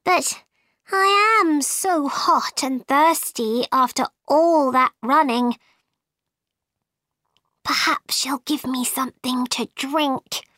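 A woman's voice: sits high, slow, bright, forceful tone, no room sound, studio quality, pitched above the performer's own voice, exaggerated voice. A woman reading a storybook aloud, voicing a polite, puzzled young girl. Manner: wondering, polite, slightly plaintive.

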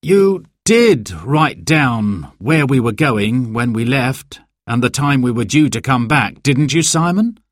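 A man's voice: medium pitch, lively intonation, takes it slowly, hardly any pauses, no room sound, clean studio sound. A man voicing a hopeful, urgent and commanding father in a children's story. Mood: questioning.

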